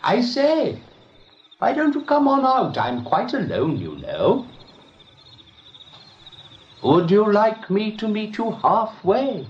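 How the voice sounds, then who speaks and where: A man's voice: high-pitched, wildly swooping pitch, slow pace, long pauses, a little mellow, husky, heavily acted. A man playing an urbane, over-polite, slyly welcoming rabbit in an eighties radio drama, with languid, affected manners. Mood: coaxing. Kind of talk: 1980s radio drama acting. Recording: dull, faintly noisy old radio tape.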